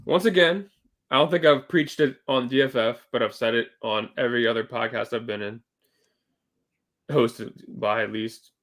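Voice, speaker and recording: A man co-hosting a casual sports podcast, talking loosely with fillers and self-corrections, a little hoarse from losing his voice. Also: medium pitch, steady tone, moderate pace, a bit bright. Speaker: podcast co-host.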